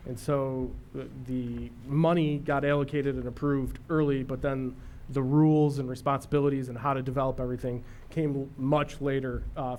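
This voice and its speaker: A man presenting at a school board meeting, speaking haltingly with many fillers. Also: mid-range voice, a little mellow, a little hiss or hum. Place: meeting room.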